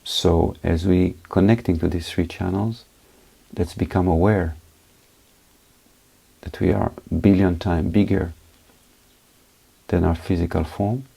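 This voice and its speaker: A man leading a guided meditation as a teacher, speaking in short, unhurried phrases with pauses between them. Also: sits low, steady tone, gravelly, warm tone, slightly rough, rough recording.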